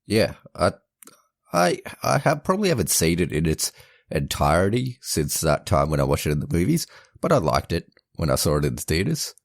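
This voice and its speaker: Very deep-voiced man, lively pitch. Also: moderate pace, vocal fry, no echo, clean studio sound. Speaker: podcast co-host. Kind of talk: casual movie review chat.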